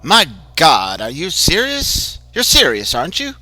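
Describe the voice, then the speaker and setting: A man's voice: fairly high pitch, huge pitch swings, unhurried, sharp tone, a little hiss or hum, pitched above the performer's own voice, heavily acted. A man voicing a concerned, skeptical male best friend who questions in disbelief. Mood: incredulous.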